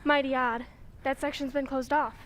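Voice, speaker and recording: A woman's voice: high-pitched, flat delivery, normal speed, fairly bright tone. A woman playing an easily alarmed, indignant villager with earnest, theatrical old-time radio acting. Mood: uneasy, curious.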